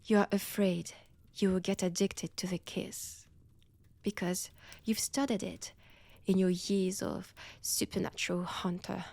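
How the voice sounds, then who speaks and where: A woman's voice: medium pitch, little pitch movement, a little mellow, no room sound. A woman with a French accent voicing a seductive, menacing female vampire in an intimate ASMR roleplay, whispery and teasing, close to the microphone. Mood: taunting. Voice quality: breathy, whispery. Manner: slow, teasing, deliberate delivery. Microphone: close, dry microphone.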